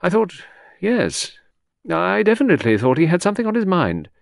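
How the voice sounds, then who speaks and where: A man's voice: medium pitch, very animated, moderate pace, no room sound, clean studio sound, pitched above the performer's own voice. A man voicing a cool, brusque young woman secretary in an audiobook, with precise, faintly scornful speech. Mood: hesitant recall. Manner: crisp, precise, prompt, scornful. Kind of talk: audiobook character reading.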